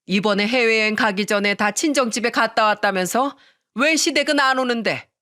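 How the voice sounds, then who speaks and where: A woman's voice: fairly high pitch, measured pace, sharp tone. A woman voicing a stern elderly mother-in-law who scolds bluntly in a dramatic family story. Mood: angry scolding. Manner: firm, reproachful, blunt.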